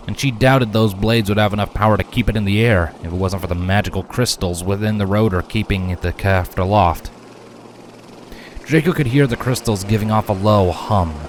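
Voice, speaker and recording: A man narrates a fantasy story from a script. His voice sits fairly low as he tells the tale in the role of storyteller. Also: slight background noise. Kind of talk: scripted fan fiction narration.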